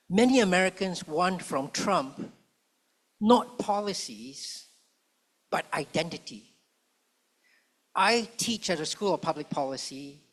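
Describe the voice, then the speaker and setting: A man speaking as a public policy professor on a panel, measured and rhetorical, slowing down to stress key points. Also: a little high. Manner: measured, rhetorical, slows for emphasis. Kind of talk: panel talk. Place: conference hall.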